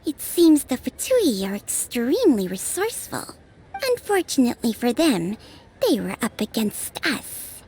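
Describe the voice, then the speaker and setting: A woman voicing a tiny childlike fairy companion in a video game, squeaky, blunt and exclamatory. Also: big pitch swings, measured pace, dark tone, a little hiss or hum, poor audio. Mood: smug curiosity. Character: tiny cheerful childlike female fairy companion, talks in third person.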